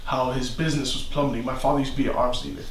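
A man's voice: mid-range voice, little pitch movement, rapid-fire, reverberant, a little hiss or hum, rough recording. A man, a client, telling in casual talk about strange things he has seen, earnest and prone to repeating himself. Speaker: client in a haunted house. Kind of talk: casual emotional storytelling. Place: indoor room full of antiques.

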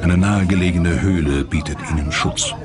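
A man's voice: low-pitched, moderate pace, over a noisy crowd. A man reading dramatic scripted narration as the narrator of a documentary.

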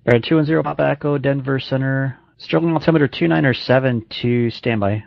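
A male air traffic controller talks in clipped phraseology. His mid-pitched voice has some fry, heard through dull lo-fi radio audio. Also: fast talker, no room sound.

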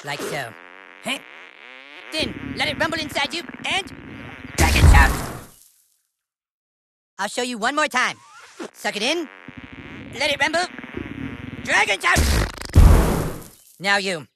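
A man's voice: very high-pitched, very animated, slow pace, vocal fry, long pauses, heavy background noise, full character voice. An actor voicing a bossy, scheming young boy wizard in a cartoon game, with a nasal, whiny delivery. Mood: pompous. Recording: game audio with music behind.

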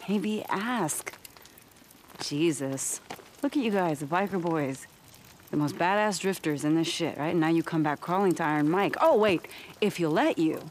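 A woman's voice: fairly low pitch, expressive, normal speed, darkish tone, a little hiss or hum, lightly acted. An actress playing a tough, outspoken young woman in a survivor camp in a video game, blunt and sardonic. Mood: mocking.

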